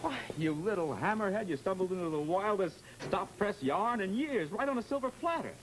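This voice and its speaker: An actor playing an excitable, wisecracking newspaperman in an old radio drama, with slangy, incredulous patter. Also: high-pitched, a little fast, rough recording. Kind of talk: 1940s radio drama acting. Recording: vintage radio recording, faint noise.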